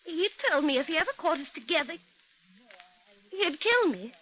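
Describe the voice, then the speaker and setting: A frightened woman from a vintage Australian radio serial, with an Australian accent. Her voice sits high and is piercingly bright. She pleads in a breathless, theatrical way and is quick to defend herself. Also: animated, low-quality recording. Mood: scared, pleading. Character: frightened, melodramatic, defensive woman living alone. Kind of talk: old-time radio drama acting.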